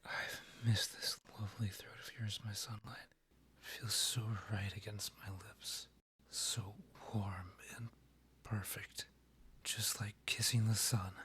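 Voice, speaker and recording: A man playing a courtly, lovestruck vampire king in a romantic ASMR roleplay, half-whispering in a breathy voice with formal, pleading phrasing. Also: fairly low pitch, little pitch movement, slow, a bit bright. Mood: yearning, tender. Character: lovestruck male vampire king, courtly, yearning, tender suitor.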